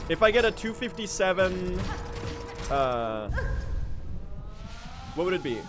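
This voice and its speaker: Excitable man streaming a game. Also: sits high, slow pace. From Sweden. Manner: jokey, self-mocking, bursts into yelling at the game. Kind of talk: live gaming stream chat. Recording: game music loud under the voice.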